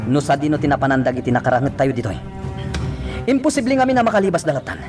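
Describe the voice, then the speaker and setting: An actor with a Filipino accent playing a hard-driving police chief who barks short orders in a radio drama. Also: male voice, fairly high pitch, very animated, very fast, music in the background. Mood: stern, frustrated.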